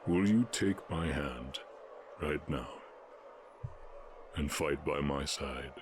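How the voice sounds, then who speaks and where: A man voicing a stern, imposing orc warchief in a fantasy audio roleplay, speaking in deliberate, imperious proclamations. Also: rumbling low, background noise. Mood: calm, persuasive.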